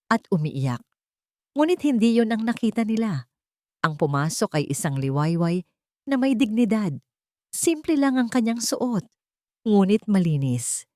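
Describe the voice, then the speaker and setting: A woman's voice: mid-range voice, darkish tone, no room sound, clean studio sound. A woman reading a scripted, dramatic romance story as its narrator.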